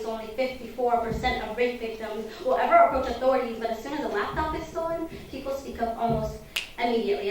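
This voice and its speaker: Young woman, high voice, rapid impassioned poetry recital, big echoey room. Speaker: spoken word poet. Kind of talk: spoken word poetry performance. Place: event hall before an audience. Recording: echoey hall, distant mic, faint noise.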